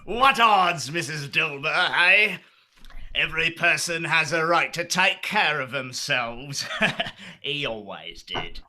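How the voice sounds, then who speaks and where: Shady wheedling thief in a radio play, really harsh bright voice, slowish, brazen. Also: male voice, on the high side, animated, full character voice. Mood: brazen, sly. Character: seedy, brazen, self-justifying thieving scavenger. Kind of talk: theatrical radio play acting.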